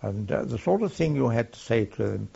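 An older man with a gravelly, husky voice, recalling his wartime service haltingly, with many pauses and fillers. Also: medium pitch, expressive, warm tone. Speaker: war veteran recounting service. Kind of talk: oral history recollection. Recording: dull lo-fi archive tape.

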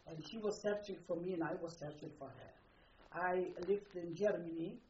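An older woman from Poland with a Polish accent, speaking in an oral history interview. Her phrasing is halting and non-native, and she repeats words as she searches for them. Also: lowish voice, darkish tone, slight background noise. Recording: muffled roomy archival tape.

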